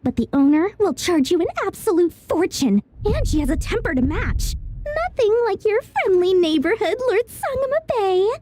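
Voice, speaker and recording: A woman's voice: very high voice, expressive, moderate pace, mellow, a little hiss or hum. A woman voicing a greedy, chatty young female merchant in a video game, talking in a theatrical, salesy way. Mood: cautioning, smug. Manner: theatrical, salesy, self-promoting. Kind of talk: video game character acting. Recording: music under the voice.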